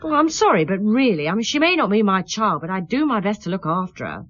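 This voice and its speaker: A woman's voice: mid-range voice, big pitch swings, fast talker, a bit bright, no echo. An actress playing a defensive, impatient stepmother in a radio play, curt and indignant. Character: sharp-tongued, defensive married woman, impatient stepmother. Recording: dull lo-fi radio play audio.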